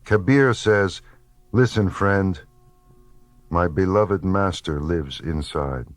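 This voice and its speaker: A man reading spiritual poetry in a contemplative, measured and deliberate way, with pauses between phrases. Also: low-pitched, dry recording. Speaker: poetry reader. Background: soft background music.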